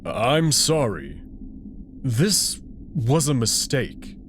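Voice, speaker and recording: A man's voice: mid-range voice, big pitch swings, very slow, slight background noise. A man voicing an anxious, regretful male alien wolf in a visual novel reading. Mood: remorseful.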